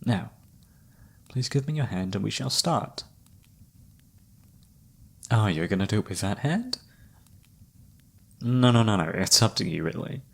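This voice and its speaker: A man playing a flirty, theatrical travelling palm reader in a fantasy ASMR roleplay, teasing and dramatic with a breathy, husky voice. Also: lowish voice, very animated, unhurried, pauses often, exaggerated voice. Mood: flirty, soft.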